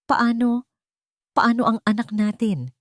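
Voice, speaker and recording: A woman's voice: on the high side, slow pace, no room sound, clean studio sound, voice pushed up. A woman voicing a grieving, betrayed wife in an audio story, trembling and pleading. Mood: shaken, pleading.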